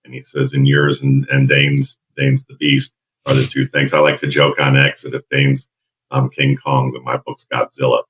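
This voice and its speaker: A middle-aged man with a deep baritone and a husky edge, talking in a relaxed, storytelling way as a podcast guest. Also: normal speed. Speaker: guest draft analyst. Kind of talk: casual podcast interview.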